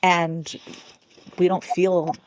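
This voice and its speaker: Low-pitched, chatty woman in her forties. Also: flat delivery, extremely slow, a little hiss or hum, poor audio. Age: 40s. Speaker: podcast guest. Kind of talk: casual conversational interview.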